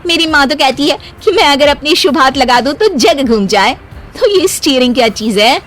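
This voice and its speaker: A woman plays a proud young wife in an animated family tale. Her voice is high and very animated, bossy and emphatic. There is some noise behind her. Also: normal speed. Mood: stubborn. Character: proud, chirpy young married woman, boastful and stubborn. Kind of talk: animated Hindi moral story voice acting.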